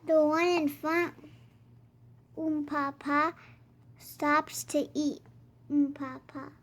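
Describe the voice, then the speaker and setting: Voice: high voice, flat delivery, drawn-out words, fairly bright tone. A child reading a rhyming picture book aloud in a sing-song, rhythmic way, as host of a reading channel. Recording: clear background noise.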